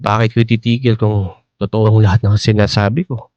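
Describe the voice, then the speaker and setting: A man's voice: lowish voice, little pitch movement, brisk, darkish tone, no room sound, highs cut off, close to an everyday voice. Actor as an older Filipino womanizer in love. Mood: earnest, pleading. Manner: hesitant, coaxing, peppered with interjections. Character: older playful womanizing man, earnest suitor in love. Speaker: voice actor in a radio drama. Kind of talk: Filipino radio drama acting.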